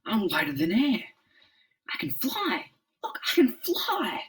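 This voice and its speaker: Raised male voice, youthful, excitable, hot-headed, animated, motion comic superhero, acted by a man. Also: high-pitched, slow, far from the mic, very noisy, pitched above the performer's own voice, full character voice. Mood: amazed, excited. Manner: excited exclamations. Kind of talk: dramatic comic-book reading.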